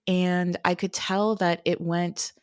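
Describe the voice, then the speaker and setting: Candid low-voiced woman podcast co-host. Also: slow pace, clean tone, no room sound, clean studio sound. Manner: candid, energetic, swears casually. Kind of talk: casual podcast chat.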